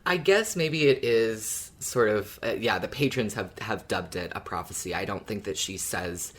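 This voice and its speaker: Male podcast co-host, lower-pitched voice, thoughtful and explanatory, casual chat. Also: moderate pace, a bit bright. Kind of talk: casual podcast discussion of a fiction show.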